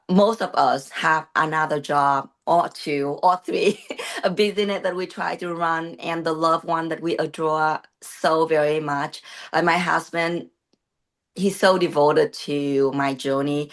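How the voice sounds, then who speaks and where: A woman in her thirties speaks English with a Vietnamese accent, her voice sitting low for a woman. She talks earnestly and hesitantly, circling back with fillers and restarts as she opens up. It sounds like a video call interview. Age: 30s. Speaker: guest, bodybuilding competitor and coach. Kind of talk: casual interview talk.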